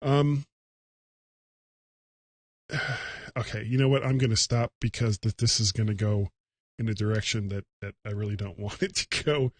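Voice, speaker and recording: A man's voice: lowish voice, dry recording. Casual, rambling man hosting a tech livecast. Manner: rambling, halting, self-deprecating. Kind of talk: casual solo livecast talk.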